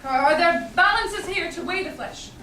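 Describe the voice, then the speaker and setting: Woman playing a high-voiced young doctor of law. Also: expressive, bright, forceful tone, exaggerated voice. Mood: judicial, firm. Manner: measured, formal, declamatory. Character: composed young male doctor of law, judging firmly. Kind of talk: theatrical staged Shakespeare reading. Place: small theatre stage, indoors. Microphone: far audience camera. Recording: echoey stage, distant camera, faint noise.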